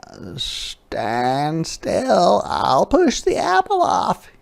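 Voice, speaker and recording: An eager little male squirrel from a storybook, as a man acts him out. The voice leaps up high and sounds keen to help. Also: wildly swooping pitch, extremely slow, creaky, full character voice. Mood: eager, helpful. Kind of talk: storybook character voice.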